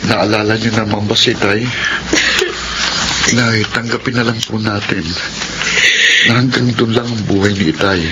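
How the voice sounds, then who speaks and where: A man's voice: on the low side, steady tone, measured pace, long pauses, natural voice. A man with a Filipino accent playing a dutiful, grieving young son, polite and earnest, in a radio drama. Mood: concerned, consoling. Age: young adult. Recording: loud background noise.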